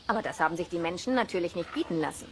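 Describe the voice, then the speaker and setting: A woman's voice: on the low side, animated, racing, lightly acted. A woman playing a friendly, knowledgeable wolf keeper, explaining patiently in a children's radio play. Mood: friendly, instructive. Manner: patient, lightly amused explaining. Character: friendly, knowledgeable woman who looks after wolves. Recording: faint cassette noise.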